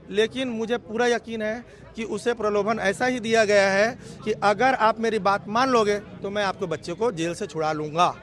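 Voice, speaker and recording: High-pitched, emphatic male activist spokesman. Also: fairly bright tone. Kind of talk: on-the-spot news interview statement. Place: outdoors near a police station. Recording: outdoor street with crowd murmur.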